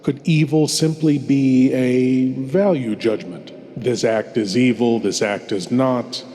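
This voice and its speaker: A man's voice rings with long reverb as he hosts a horror show. He delivers his scripted introduction slowly at a medium pitch, theatrical and ominous, pausing deliberately between phrases. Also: steady tone, dark tone. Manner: dramatic, ominous, deliberate pauses.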